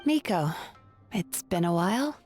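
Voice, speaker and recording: Composed, gently wry young therapist. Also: female voice, fairly low pitch, expressive, faint music behind. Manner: measured, polite, lightly playful. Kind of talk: English video game voice acting.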